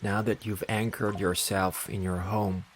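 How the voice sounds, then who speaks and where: Slow, low-voiced man guiding meditation. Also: level tone, noisy background. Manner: deliberate short phrases with long pauses. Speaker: meditation guide. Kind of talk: guided meditation narration.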